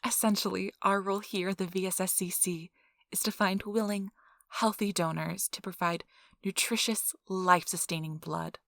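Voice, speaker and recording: A woman's voice: medium pitch, steady tone, moderate pace, gravelly, a bit bright, no room sound, studio quality. A woman playing a cheerful, earnest donor recruiter in an ASMR role-play, with a polite, reassuring customer-service manner. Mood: upbeat, reassuring. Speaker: donor acquisition interviewer.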